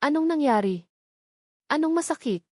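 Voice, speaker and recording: A woman's voice: high-pitched, animated, normal speed, a little mellow, no room sound, studio quality, pitched above the performer's own voice. A woman voicing an anxious, protective young rich man with urgent, pleading delivery in an audio love story. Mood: panicked. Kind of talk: dramatic audio story dialogue.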